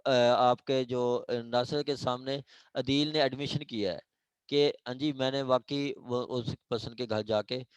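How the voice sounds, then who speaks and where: A man's voice: medium pitch, steady tone, smooth, no echo. A man with a Pakistani accent giving an informal law lecture, explaining with examples, often hesitating and checking that listeners follow. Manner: repeats check phrases, hesitant, reads legal text slowly in English.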